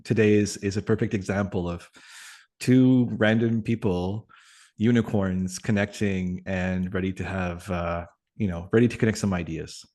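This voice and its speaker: A man from Atlantic Canada whose first language is French, talking as a guest on a video call, reflective and pausing often with fillers. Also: deep voice, fairly even intonation, a little mellow. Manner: thoughtful, many pauses and fillers. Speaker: guest, community facilitator. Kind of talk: reflective interview conversation.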